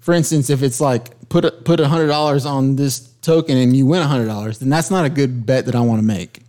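A man's voice: mid-range voice, a little fast, warm tone. A man hosting a casual podcast, emphatically explaining a point about risk and often cutting in with "hold on.". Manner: emphatic, keeps interrupting to make his point. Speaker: podcast host. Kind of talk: casual chat.

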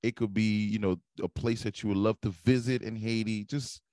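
A man's voice: on the low side, fairly even intonation, normal speed, darkish tone, dry recording, clean studio sound. A man hosting a podcast interview, explaining things at length in a long-winded way. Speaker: podcast host.

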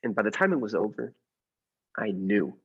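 A man playing a mild henpecked husband plotting murder in a radio play, measured in narration and hesitant in conversation. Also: on the low side, big pitch swings, husky, dull audio, full character voice. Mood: brooding, confiding.

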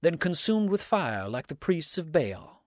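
A man's voice: medium pitch, animated, normal speed, a little mellow, dry recording, close to an everyday voice. A defiant villain from a storybook, acted out by a male reader, sneering and theatrical. He speaks in mocking, sarcastic exclamations, in a closely recorded, dry sound. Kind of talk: dramatic storybook reading.

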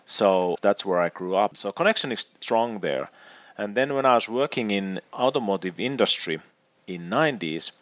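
A middle-aged man from Finland with a Finnish accent, giving thoughtful, measured answers as an interview guest. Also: on the low side, lively intonation, fairly bright tone, no room sound, highs cut off. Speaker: guest, EV consultant and educator.

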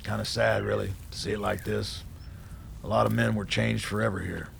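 An actor in a radio drama playing a blunt, profane old army colonel with a gravelly voice, ornery but philosophical and loyal. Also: male voice, lowish voice, little pitch movement, normal speed, a little hiss or hum. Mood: wistful. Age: older. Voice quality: gravelly, creaky.

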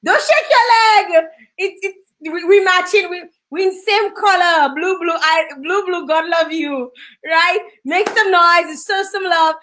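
A woman from Dominica with a Dominican accent, hosting a casual interview, bubbly and quick to laugh, slipping into Creole phrases. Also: very high-pitched, a bit bright. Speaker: host.

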